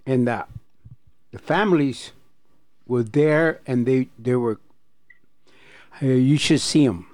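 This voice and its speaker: A man giving informal personal testimony as a guest, speaking in a halting, blunt way. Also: mid-range voice, darkish tone. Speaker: interview guest.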